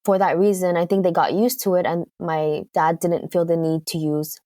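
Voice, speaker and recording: A woman's voice: fairly low pitch, fairly even intonation, normal speed, warm tone, dry recording, clean studio sound. A woman from the United Kingdom with a Canadian accent, a guest in a casual interview, talking thoughtfully and hesitantly with lots of fillers.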